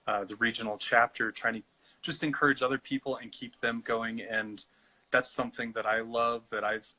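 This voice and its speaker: An American man hosting a casual interview, encouraging and a little halting in his phrasing. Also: mid-range voice, flat delivery, pushed and bright, poor audio. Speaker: show host, interviewer. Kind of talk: casual chat.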